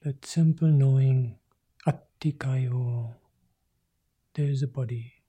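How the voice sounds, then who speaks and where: A man leads a guided meditation in short, gentle phrases, often dropping to a breathy whisper. His voice is very dark and mellow, with a husky edge. He speaks very slowly and leaves long pauses between cues. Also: medium pitch, fairly even intonation. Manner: soft, slow phrases, often sinking to a whisper. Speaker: Buddhist monk leading meditation.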